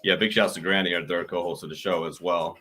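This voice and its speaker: Casual male podcast co-host, punchy hype-man delivery, bright edge. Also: low-pitched, fairly even intonation, quick pace, faint music behind. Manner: hype-man openings, emphatic repeats.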